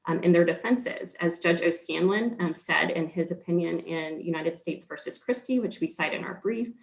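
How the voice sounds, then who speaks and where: Gravelly-voiced attorney arguing an appeal. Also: male voice, on the high side, poor audio. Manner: measured, formal, frequent ums. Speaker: state's attorney. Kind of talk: appellate oral argument.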